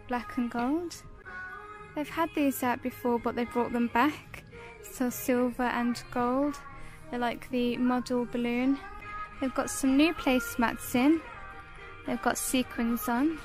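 High-pitched British woman, unhurried shopping vlog. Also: rough recording. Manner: short descriptive phrases, listing items. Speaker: shopping vlogger. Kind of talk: casual shop-with-me product showcase narration.

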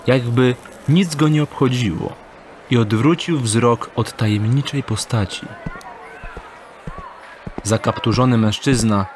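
A man narrating an audio drama in a measured, deliberate storytelling manner. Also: medium pitch, slight background noise.

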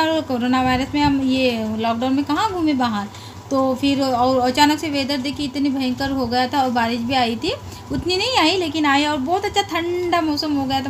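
Chatty high-voiced woman vlogging at home. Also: a little hiss or hum. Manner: chatty, homely, hesitant with many fillers and restarts. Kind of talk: casual vlog narration. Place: home and courtyard, indoors and outdoors.

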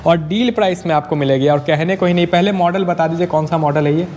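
A young man with a high voice gives a chatty showroom walkthrough, talking straight to his viewers and echoing details back to them. He speaks into a clip-on lapel mic indoors, with a faint hum of room noise behind him. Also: fast talker, darkish tone. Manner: chatty, repeats the dealer's answers, addresses viewers as brothers. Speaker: vlogger host. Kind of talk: casual product walkthrough.

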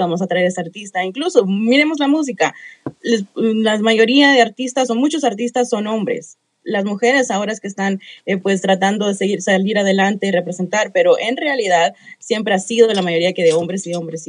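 Hesitant young woman in a radio studio. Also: medium pitch. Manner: hesitant, many fillers, laughs easily. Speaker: radio moderator. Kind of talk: panel discussion. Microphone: studio microphone.

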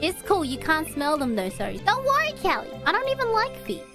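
A woman streamer rambling quickly and flustered, repeating herself as she scrambles to explain. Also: extremely high pitch, wildly swooping pitch, music in the background. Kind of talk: fast panicked streamer chatter.

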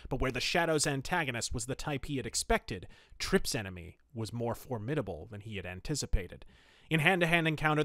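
A man's voice: medium pitch, no echo. Man hosting a podcast, close dry sound. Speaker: podcast host. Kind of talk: reading pulp fiction aloud and reviewing it.

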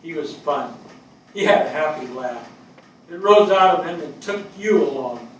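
Older guy acting earnest fire captain reading a eulogy on stage, measured, far from the mic with faint noise. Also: on the high side, expressive, very echoey, close to an everyday voice. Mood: fond. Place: indoor theatre stage. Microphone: distant camera.

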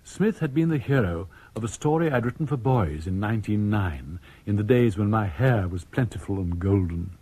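An older English author, genial and conversational, narrating a radio play. The voice is low and mellow with lively intonation, a little husky, in a dry studio. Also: male voice, moderate pace, hardly any pauses. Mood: wry, reminiscing. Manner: conversational, addressing the listeners directly. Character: genial older male author telling his own story. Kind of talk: 1980s BBC radio drama narration.

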